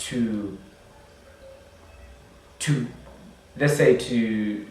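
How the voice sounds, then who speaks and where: A man's voice: medium pitch, drawn-out words. A man from Zimbabwe talks animatedly in a casual interview, stumbling over repeated words as he makes his point. He sits some way from the mic, and the room rings with heavy echo around him. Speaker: musician guest. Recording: echoey room, distant mic.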